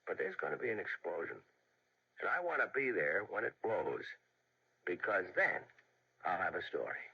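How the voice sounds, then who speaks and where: An actor playing a dogged, sardonic newspaper reporter in an old-fashioned radio mystery, gravelly, wry and probing. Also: male voice, deep voice, sing-song, normal speed, exaggerated voice. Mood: intent. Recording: muffled old radio recording.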